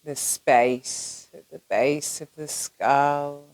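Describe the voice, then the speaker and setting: A woman teaching yoga nidra, leading a guided relaxation in a soothing, gentle manner with long pauses between phrases. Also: low-pitched, fairly even intonation, dry recording, poor audio.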